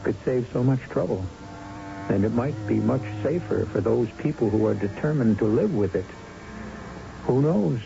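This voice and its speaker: A man hosting a radio drama in measured, wry, portentous scripted narration. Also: medium pitch, lively intonation, creaky. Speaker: host. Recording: dull old radio broadcast recording. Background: music.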